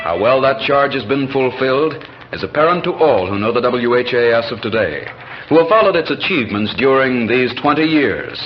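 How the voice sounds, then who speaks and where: Male 1940s American radio announcer, formal measured narration, mid pitch, dull old broadcast with music behind. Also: animated.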